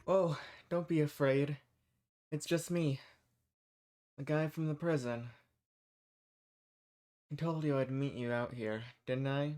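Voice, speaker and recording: A man's voice: on the high side, steady tone, slow pace, long pauses, darkish tone. A man playing a folksy undercover rebel among monster hunters in a roleplay audio drama. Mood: friendly, reassuring. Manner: folksy, reassuring. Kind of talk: scripted roleplay audio drama addressed to the listener.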